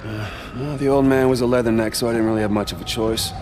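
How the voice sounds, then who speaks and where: Man as an uneasy, quick-talking young Marine. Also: medium pitch, steady tone, natural voice. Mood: uneasy, resigned. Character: uneasy young male Marine on first deployment. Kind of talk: conversational game cutscene acting. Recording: clear outdoor vehicle noise.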